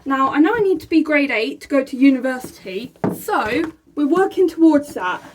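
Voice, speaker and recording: A young woman talks straight to her camera in a small room, her voice sitting high. She is chatty and excitable and laughs between thoughts, as in a casual vlog. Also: normal speed, noisy background. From England. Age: young adult. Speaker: vlogger. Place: small room by a rainy window, indoors.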